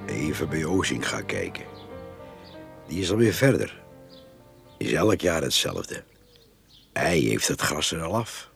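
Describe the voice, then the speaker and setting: An actor in a radio play playing a grumbling, plain-spoken and suspicious farmer with a gravelly, husky voice. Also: male voice, rumbling low, very animated, unhurried, pauses often, music in the background, exaggerated voice. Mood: musing.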